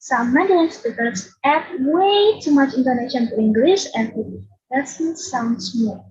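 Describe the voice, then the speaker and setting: A woman's voice: high voice, lively intonation, unhurried, darkish tone. A young woman with an Indonesian accent, a university student explaining slides in a class presentation and switching between English and Indonesian. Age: young adult. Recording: dull lo-fi recording.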